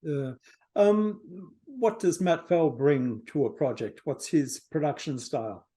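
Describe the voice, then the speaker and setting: A man's voice: a little high, slow pace, mellow. A man asking casual interview questions as a music magazine editor on a video call.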